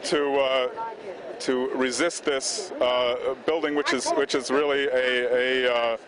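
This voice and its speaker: A man's voice: medium pitch, fairly even intonation. Indignant man amid a rally crowd. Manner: indignant, emphatic, halting. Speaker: rally protester being interviewed. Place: outdoors at a street rally. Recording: crowd noise of an outdoor rally.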